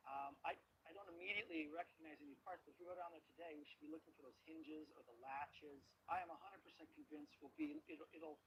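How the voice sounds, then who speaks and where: A man's voice: a little high, big pitch swings, fast, gravelly, hardly any pauses, a bit bright, slight background noise, poor audio. A man in a documentary explaining search gear and wreck parts on site with enthusiasm. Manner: enthusiastic, hands-on describing.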